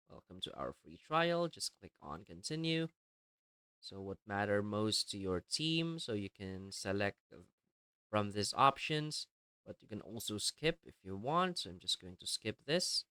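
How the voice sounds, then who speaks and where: Low, clear-voiced man giving a tutorial. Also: pushed and bright, dry recording. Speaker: tutorial presenter. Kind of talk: step-by-step software tutorial voice-over.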